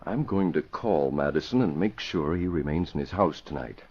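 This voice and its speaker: An actor playing a composed, thoughtful crime fighter, measured and deliberate, in an old-time radio drama. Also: male voice, a bass voice, animated, darkish tone, breathy edge, full character voice. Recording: muffled vintage radio recording.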